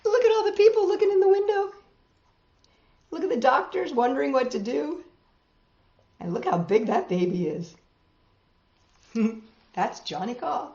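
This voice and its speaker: Lady doing kids storytime, highish voice that swoops around, echoey room. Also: a little slow, pauses often. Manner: expressive, exclaiming, lingering on words. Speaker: storytime host reading picture books aloud. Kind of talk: animated children's read-aloud with chatty asides. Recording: dull, echoey room recording.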